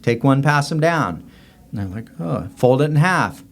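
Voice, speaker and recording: A man's voice: medium pitch, expressive, moderate pace, creaky. Blunt middle-aged American man telling stories. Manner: blunt, opinionated storytelling. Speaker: interview guest, author and former professor. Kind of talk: casual anecdotal interview talk.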